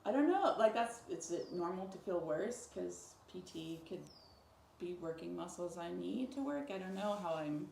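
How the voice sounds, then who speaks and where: Female patient, casual and tentative, slightly low voice with vocal fry, roomy recording with faint background noise. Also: expressive, moderate pace, darkish tone. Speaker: physical therapy patient. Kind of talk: casual conversation, short agreeing replies. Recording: echoey room, clear background noise.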